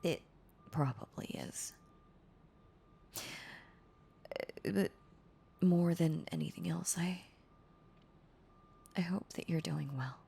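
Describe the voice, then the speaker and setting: A woman playing a heartbroken, tender former girlfriend in an intimate audio roleplay, confessing haltingly in a breathy, husky voice that slips into whispers. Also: fairly low pitch, dark tone, exaggerated voice. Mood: tender, bittersweet. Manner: halting, confessional, repeating words, breaking into whispers.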